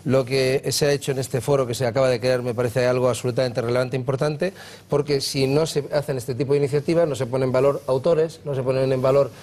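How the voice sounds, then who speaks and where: A man's voice: mid-range voice, fairly even intonation, a little mellow. A middle-aged man, a panel guest from southern Spain, making his point emphatically and with repeated phrases in a TV studio debate. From Granada, Spain. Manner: fast, emphatic, repetitive phrasing. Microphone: lapel.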